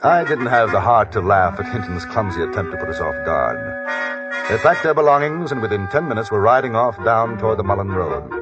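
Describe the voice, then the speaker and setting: Wry polite western gentleman, kinda low husky voice, understated and formal, lo-fi old radio show. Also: sing-song, fairly quick, loud music behind the voice. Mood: measured, reflective. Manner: formal, understated, dryly ironic. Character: cool, wry, gentlemanly frontier adventurer protecting a youth. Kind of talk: old-time radio western acting and first-person narration. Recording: dull, lo-fi old radio recording.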